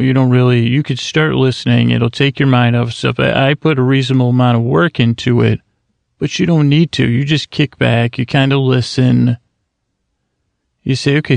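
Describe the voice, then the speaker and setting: A middle-aged man hosting a sleep podcast, with a creaky voice and a slow, meandering, soothing way of talking full of restarts. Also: mid-range voice, darkish tone, no echo. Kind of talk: rambling sleepy monologue.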